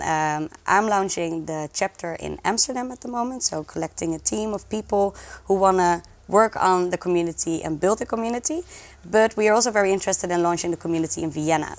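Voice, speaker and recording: A woman speaking as a guest in a conversational interview, explaining things with enthusiasm. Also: lowish voice. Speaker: interview guest, social project community organizer.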